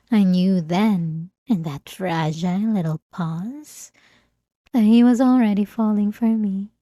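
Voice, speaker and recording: A woman plays an obsessive, centuries-old vampire lady in an intimate ASMR audio roleplay. Her voice is dark and soft, drawn out at a leisurely pace with teasing, possessive sweetness. It sits close and very dry on the microphone. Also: mid-range voice, takes it slowly, dry recording, lightly acted. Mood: nostalgic, mocking.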